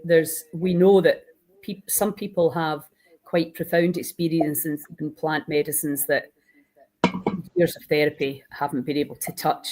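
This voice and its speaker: A woman's voice: sits low, darkish tone, poor audio. A woman hosting an informal live online interview in a chatty, enthusiastic way. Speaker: host.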